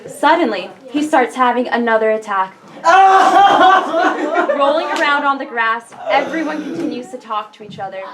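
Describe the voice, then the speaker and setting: A woman reading stage directions aloud as scripted narration at a play reading. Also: fairly high pitch. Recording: very noisy room recording.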